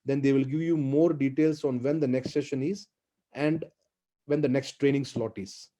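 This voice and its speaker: A man's voice: mid-range voice, fairly even intonation, normal speed, mellow. A man hosting an online training webinar, encouraging the attendees and repeating words of praise, with an African English accent. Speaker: webinar host. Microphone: video call.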